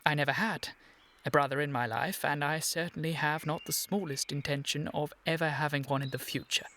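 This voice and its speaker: A man with a British accent playing a flustered, earnest young Victorian gentleman in a radio comedy, with formal, exasperated, precise diction. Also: fairly high pitch, fairly even intonation, fairly quick. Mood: awkward, solemn. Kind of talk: scripted radio comedy acting.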